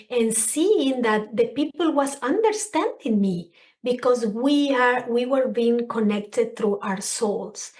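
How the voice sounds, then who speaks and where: A middle-aged woman with a Spanish accent, speaking English as a second language, earnestly talking as a counselor and guest on a podcast. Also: on the high side. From Spanish-speaking country. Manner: earnest, expressive, searching for words. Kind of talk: conversational interview.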